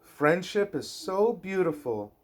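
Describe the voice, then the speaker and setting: Male parent, young adult, for bedtime storybook read-aloud: unhurried, expressive, with soft casual asides to a child. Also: high-pitched. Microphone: phone recording, held or propped nearby.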